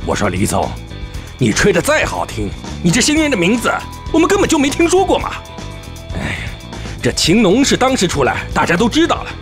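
A man playing a carping, blustery shareholder in an audio drama, needling with drawn-out exclamations. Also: high-pitched, wildly swooping pitch, soft background music, heavily acted. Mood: sneering, dismissive. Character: carping, sneering male shareholder, blustery and fickle. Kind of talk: Chinese audio drama acting.

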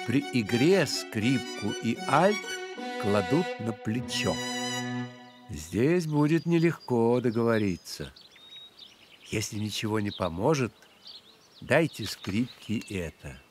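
A courteous cartoon grasshopper teacher with a medium-pitched voice. He explains things clearly, like a guide for children, with music behind him. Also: big pitch swings, slow. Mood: explaining. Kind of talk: educational cartoon narration.

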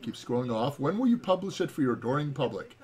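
American man, mid-pitched, dry joking co-host. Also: very animated, quick pace, darkish tone. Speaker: livestream co-host. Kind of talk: casual livestream chat and reading aloud. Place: home room. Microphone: webcam-style setup, no headset, at desk distance.

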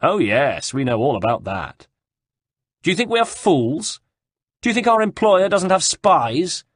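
A man voicing a mocking Italian nobleman villain in an audiobook, taunting and projecting loudly. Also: medium pitch, big pitch swings, unhurried, slightly rough, no echo, clean studio sound, pitched above the performer's own voice, full character voice. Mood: sneering. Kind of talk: audiobook character dialogue.

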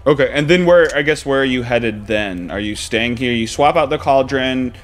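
A man narrating and running a tabletop roleplaying game, relaxed and often laughing with his players. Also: medium pitch, moderate pace. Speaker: game master.

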